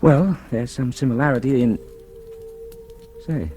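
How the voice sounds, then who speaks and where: A man's voice: mid-range voice, unhurried, dark tone, faint music behind, natural voice. An actor playing an evasive, hesitant man with a studied casualness in an old radio mystery drama. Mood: casual, probing. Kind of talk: 1940s radio drama acting.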